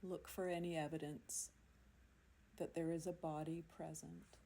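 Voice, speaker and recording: A woman leading a guided yoga nidra meditation in a soothing, unhurried manner, leaving long pauses between phrases. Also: low voice, flat delivery, vocal fry, warm tone. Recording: amateur recording with faint background noise.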